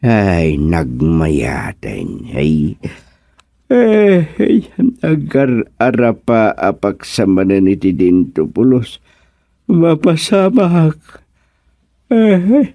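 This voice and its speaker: A man's voice: on the low side, big pitch swings, drawn-out words, creaky, warm tone, no echo, clean studio sound, heavily acted. A man playing a comic, grumbling village man in an Ilocano radio serial, muttering and full of exclamations. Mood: muttering, playful.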